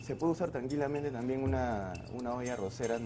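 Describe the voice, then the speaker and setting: Chef guy in his 40s, mid pitch, stops and restarts a lot, music in the background. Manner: halting, many restarts and fillers. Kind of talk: casual kitchen interview. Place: restaurant kitchen and sushi bar.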